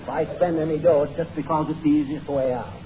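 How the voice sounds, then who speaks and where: A dull, noisy 1940s radio recording of a man acting as a blustery American husband in a comedy. He grumbles in slang and mutters off, all bluster over a soft heart. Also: a little high, fairly even intonation, fast, natural voice. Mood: blustery defensive.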